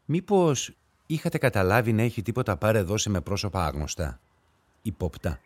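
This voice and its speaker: An actor playing a methodical police investigator in a radio drama, asking questions in a formal, probing way. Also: male voice, on the low side, animated.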